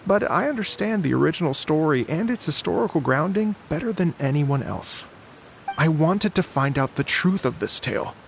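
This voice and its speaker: Middle-aged game bard, earnest and measured, slightly raised pitch with a gravelly edge. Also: male voice, lively intonation, slight background noise. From Fontaine. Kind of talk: video game dialogue.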